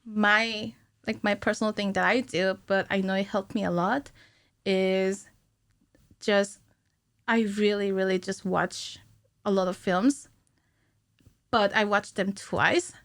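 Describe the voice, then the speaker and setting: A woman in her thirties explaining things informally and haltingly as a guest in a casual studio interview. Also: medium pitch, steady tone, a bit bright. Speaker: podcast guest, cinematic animator. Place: small podcast studio indoors. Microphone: studio microphone on stand, with headphones.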